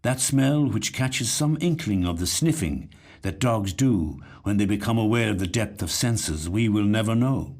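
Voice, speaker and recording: A man reading a literary short story in a measured, reflective way. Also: fairly low pitch, expressive, darkish tone. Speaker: actor reading short stories. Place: recording studio.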